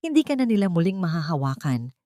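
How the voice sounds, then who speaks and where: A woman's voice: fairly low pitch, big pitch swings, fast talker, warm tone, no echo, clean studio sound. A woman voicing a protective male lover in a story drama, urgent and reassuring.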